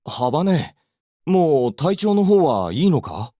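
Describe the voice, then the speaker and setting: Concerned anime schoolteacher, deep and dark-toned, plain-spoken visual novel delivery. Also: female voice, sing-song, normal speed, no echo, clean studio sound. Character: concerned homeroom teacher in an anime game. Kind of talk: Japanese visual novel voice acting.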